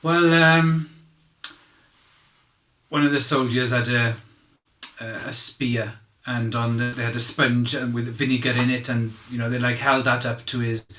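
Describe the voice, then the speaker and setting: A man playing a candid, humble fisherman giving halting, conversational eyewitness testimony in a courtroom drama. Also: medium pitch, fairly even intonation, fairly bright tone, lightly acted. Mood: sombre recollection. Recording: roomy, echoing sound.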